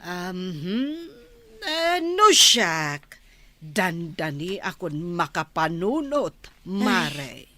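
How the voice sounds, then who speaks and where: An actress playing an earnest, slow-thinking village woman in an Ilocano comedy radio drama, with an Ilocano accent. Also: lowish voice, very animated, bright, forceful tone, exaggerated voice. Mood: ponderous.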